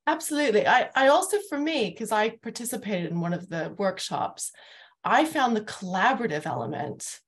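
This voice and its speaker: A woman's voice: medium pitch, big pitch swings, normal speed. A middle-aged woman moderating a reflective panel discussion on a video call from home. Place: living room at home, indoors.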